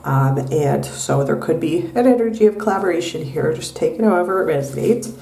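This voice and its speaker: Soft-spoken woman, nasal from a cold. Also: sits low, lively intonation, creaky, recorded from a distance, a little hiss or hum. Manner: soft, unhurried, many ums. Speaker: tarot reader. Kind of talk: pick-a-card tarot reading. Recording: echoey room, mic set back.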